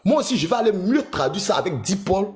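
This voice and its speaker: A man's voice: high voice, sing-song, fast talker, darkish tone. A man speaking in an online religious debate, repeating himself and hesitating as he makes his point. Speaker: debate panelist.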